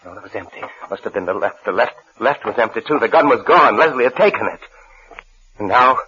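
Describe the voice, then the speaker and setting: An American man, an actor playing a paranoid husband in hiding, in halting, haunted 1950s radio suspense style. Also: fairly low pitch, expressive, sharp tone, husky, full character voice. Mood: anxious, bewildered. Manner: haunted, halting, repeating phrases. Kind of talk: 1950s radio suspense acting, first-person narration and dialogue. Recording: muffled old radio broadcast with music.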